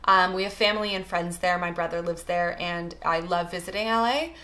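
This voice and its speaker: Bright-voiced young Canadian woman, chatty. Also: fairly low pitch, fairly quick. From Toronto, Canada. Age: young adult. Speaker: vlogger introducing herself. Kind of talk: casual chat to camera, get-to-know-me answers.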